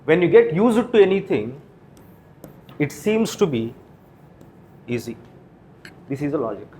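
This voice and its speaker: Indian guy teaching an exam class, slightly high voice, keeps asking questions and checking with right?. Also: sing-song, measured pace, warm tone, slight background noise. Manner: didactic, poses questions and answers them himself; frequent tag questions like "right?" and "okay?". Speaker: coaching teacher. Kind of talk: classroom lecture to exam students.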